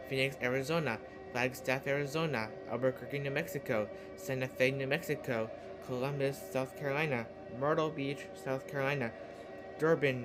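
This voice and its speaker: A man reading a scripted emergency broadcast announcement in a halting delivery, as an alert announcer. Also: medium pitch. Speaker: emergency alert announcer in a fan-made scenario.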